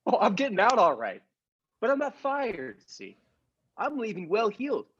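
A man's voice: sits high, wildly swooping pitch, normal speed, fairly bright tone, slightly rough, muffled recording, heavily acted. A man playing a meek daydreaming clerk who puts on a swaggering movie gangster, in a comic radio play. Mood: menacing swagger. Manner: stammering when meek, clipped gangster swagger when posing. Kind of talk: improv podcast performance of classic radio comedy play.

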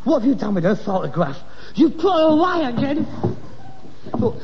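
An actor playing a touchy middle-aged grown-up son with a London accent, emphatic and theatrical in British sitcom style. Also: male voice, very high voice, big pitch swings, normal speed, heavily acted. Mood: indignant. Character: petulant grown-up son, touchy, devoted to late mother. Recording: muffled, noisy, reverberant old sitcom recording.

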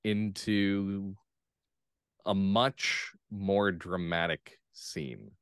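A man talking casually and reflectively as an interview guest, phrasing his thoughts in measured pieces. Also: low voice, steady tone, a bit bright, clean tone, dry recording, studio quality. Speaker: guest playwright and actor. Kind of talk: casual discussion.

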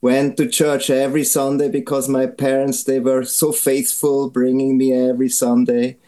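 A man's voice: mid-range voice, level tone, normal speed, dark tone. A man from Switzerland, a missionary guest, telling his faith story in earnest, simple phrasing as a non-native English speaker. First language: Swiss German. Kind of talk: interview, personal testimony.

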